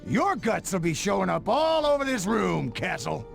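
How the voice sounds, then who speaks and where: An actor playing a raging, taunting hired killer in a video game, shouting vengeful threats as the villain. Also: male voice, high voice, big pitch swings, normal speed, gravelly, over music, full character voice. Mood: raging taunts.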